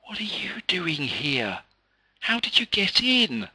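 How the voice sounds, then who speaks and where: An earnest young schoolboy, played by a man reading a storybook, chatting away in a raised, bright voice. His pitch moves up and down freely. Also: sing-song, takes it slowly, slightly rough, no room sound, heavily acted. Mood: surprised. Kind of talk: children's story read aloud.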